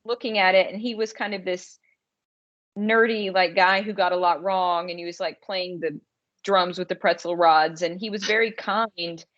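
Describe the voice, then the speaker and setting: A woman with a lowish voice talks through her opinions in a loose, conversational podcast style. She is informal and slangy, working out her thoughts as she goes. The audio is a little dull and lo-fi. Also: steady tone, moderate pace, a bit bright. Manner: informal, slangy, thinking aloud. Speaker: podcast co-host reviewing a film. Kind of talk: casual conversational discussion.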